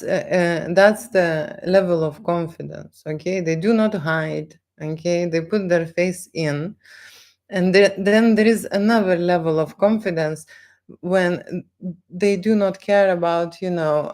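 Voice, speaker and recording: A woman with a Lithuanian accent speaks in a lowish voice at a very unhurried pace. She hosts an unscripted live stream, circling back over phrases and pausing to check that listeners follow. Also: a little mellow. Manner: halting, repeats phrases, frequent "okay?" check-ins. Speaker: live stream host, photographer. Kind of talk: unscripted live stream chat, answering viewers.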